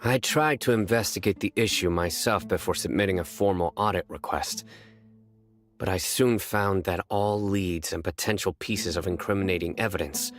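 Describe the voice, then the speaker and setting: A deep, dignified general and law enforcer played by a man in a fantasy video game. He speaks in a stern, deliberate, formal manner over faint music. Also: close to an everyday voice. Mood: grave.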